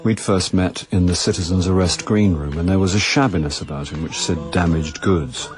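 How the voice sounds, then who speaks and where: Male actor as a droll middle-aged English sleuth, low voice, self-deprecating, flirtatious, hesitant, radio comedy mystery. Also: moderate pace, over music, close to an everyday voice. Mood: droll narration. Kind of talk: radio drama dialogue and first-person narration.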